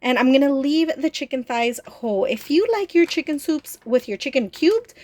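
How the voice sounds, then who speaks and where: A woman's voice: high voice, animated, normal speed, a little mellow, no room sound. A woman with a stuffy head cold, chatting casually through a cooking vlog in a small RV kitchen, with lots of "like" and "um". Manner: chatty, with many "like" and "um" fillers. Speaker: RV travel vlogger.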